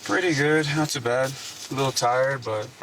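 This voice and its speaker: A man's voice: mid-range voice, moderate pace, fairly bright tone, a little hiss or hum, low-quality recording. A young man from Puerto Rico, not a native English speaker, giving casual, hesitant and evasive answers as a driver questioned by police, on body camera audio. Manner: hesitant, hedging.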